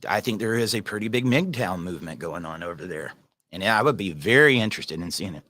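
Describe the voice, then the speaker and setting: Earnest low-voiced man hosting a livestream. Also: animated, brisk, pushed and bright, dry recording. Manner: earnest, rambling, addresses viewers by name. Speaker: livestream host reading viewer comments. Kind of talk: casual livestream talk.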